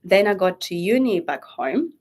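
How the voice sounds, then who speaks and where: A woman's voice: mid-range voice, big pitch swings, moderate pace, darkish tone. A woman whose first language is Spanish, telling stories in a casual chat with lots of fillers and laughter. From Spanish-speaking country. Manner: laughing, chatty storytelling with many fillers.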